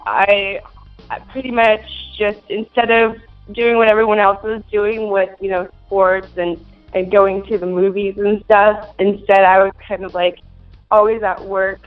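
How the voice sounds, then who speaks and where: A woman's voice: mid-range voice, little pitch movement, unhurried, narrow, tinny audio, faint music behind. A twenty-year-old woman from Minnesota, answering interview questions in a hesitant way with many fillers and giggles. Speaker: interview guest.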